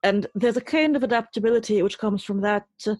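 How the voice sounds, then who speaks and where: A woman from Trinidad, answers interview questions in a reflective, literary way. Her voice sits at a middle pitch with some huskiness, and she chooses phrases deliberately. The sound is dry, with hardly any room to it. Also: darkish tone, dry recording. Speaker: interview guest, poet and writer.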